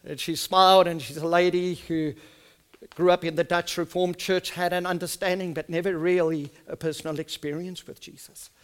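A middle-aged male pastor whose first language is Afrikaans, with a South African Afrikaans accent, preaching earnestly and moving between hushed, soft stretches and loud, emphatic ones. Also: high voice, level tone, moderate pace, clear, resonant tone. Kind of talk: sermon with personal storytelling.

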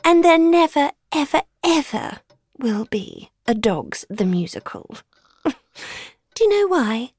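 A woman's voice: high-pitched, big pitch swings, slow pace, darkish tone, dry recording, full character voice. A woman voicing a smug, haughty pet cat with a condescending, purring drawl in a dramatised children's audiobook. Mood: gloating.

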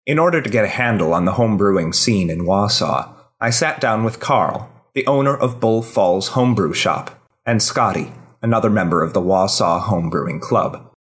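A man's voice: fairly low pitch, expressive, normal speed. A man hosting a podcast and reading a scripted personal essay aloud.

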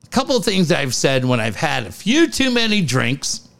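A middle-aged man co-hosting a casual podcast, telling profane, animated stories. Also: on the high side, normal speed, vocal fry. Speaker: podcast co-host.

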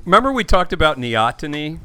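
A man's voice: on the high side, big pitch swings, brisk, pushed and bright, soft background music. A man co-hosting a casual chat, who teases and quizzes his co-host in a playful way. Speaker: podcast co-host.

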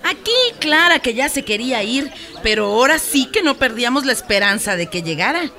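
Bright, high-voiced chatty Mexican village woman. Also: expressive, fairly quick. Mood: cheerful, teasing. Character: Remedios, kindly chatty village woman, attentive friend. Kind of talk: Spanish-language radio drama acting.

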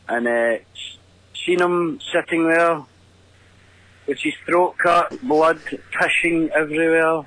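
A Scottish man delivers a casual story as a show caller. His pace is slow and deliberate, his manner gruff and foul-mouthed, and the sound is dry, with no room around him. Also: fairly high pitch, steady tone, fairly bright tone, no echo, low-quality recording. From Scotland. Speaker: caller leaving a voice message. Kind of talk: casual anecdote telling.

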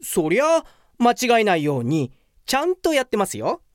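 Woman playing a self-satisfied young male office worker, lively delivery. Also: medium pitch, normal speed, dry recording, studio quality. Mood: smug. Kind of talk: anime-like comedic radio drama.

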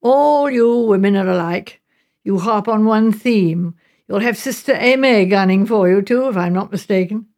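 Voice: mid-range voice, normal speed, darkish tone, natural voice. Stern, dry older brother, read by a woman. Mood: stern, warning.

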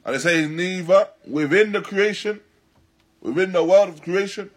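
A man teaching in a religious lecture, deliberate and emphatic, repeating and rephrasing his phrases as he explains a text. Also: sits high, breathy edge. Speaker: religious teacher giving a lesson. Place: Islamic centre, live stream.

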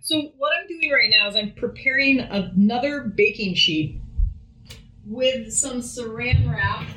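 A woman's voice: on the high side, takes it slowly, bright, forceful tone. A woman co-hosting a food radio show, talking casually and with wry playfulness as she demonstrates baking in a kitchen, some way from the microphone. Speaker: food radio show co-host, home baker. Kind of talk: casual cooking demonstration chat. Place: indoors in a home kitchen. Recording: roomy kitchen, distant from mic.

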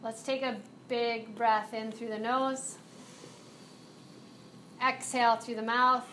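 A woman instructor guiding a live chair yoga and mindfulness class, cueing movements and breaths in a calm, unhurried way. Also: on the high side, a little hiss or hum, poor audio. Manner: slow, soothing cueing with breath prompts.

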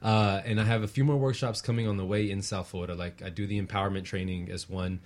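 A man's voice: fairly low pitch, fairly even intonation, fast, a little mellow. A man speaking as a talk show guest and workshop facilitator, casually explaining things.

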